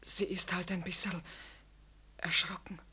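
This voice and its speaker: A woman acting in a 1960s radio drama as a timid hospital nursing sister, hesitant and subdued, with a breathy, hushed voice. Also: fairly low pitch, level tone, unhurried, exaggerated voice. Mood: hushed, frightened.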